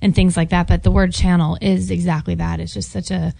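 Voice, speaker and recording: An enthusiastic, playful woman co-hosts a casual studio radio interview. Her voice is low and gravelly with a touch of fry. The sound is dry and close. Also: flat delivery, brisk, warm tone, dry recording. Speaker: radio show co-host. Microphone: studio microphone.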